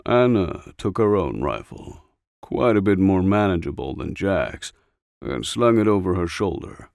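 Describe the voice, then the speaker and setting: Low, creaky-voiced male audiobook narrator. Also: very animated, measured pace, a little mellow, no room sound, clean studio sound. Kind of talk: scripted story narration.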